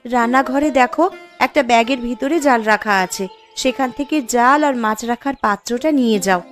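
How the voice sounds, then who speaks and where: A woman plays a poor village wife and mother in a cartoon tale. Her voice is high-pitched, and she speaks in a fretful, complaining manner. Light music plays behind her. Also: a little fast, lightly acted. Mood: fretful, worried. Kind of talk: cartoon story dialogue.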